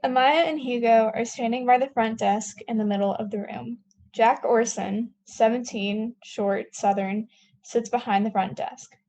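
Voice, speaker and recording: A woman's voice: on the high side, steady tone, a little slow, a little mellow, rough recording. A young woman reading stage directions as scripted narration at a table read.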